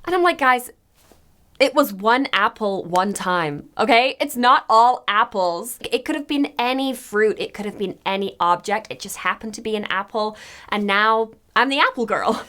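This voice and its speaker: A woman's voice: fairly high pitch, animated, moderate pace, bright, forceful tone. A woman playing a young, opinionated fairytale princess who chats in a gossipy, modern, casual way on a comedy podcast. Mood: mock exasperated. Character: young fairytale princess, chatty, opinionated, exasperated by her reputation. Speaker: guest commentator.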